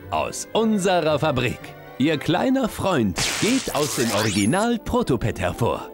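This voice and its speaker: An actor playing a slick, cheery corporate announcer in a parody game advert, upbeat and salesman-like. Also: fairly high pitch.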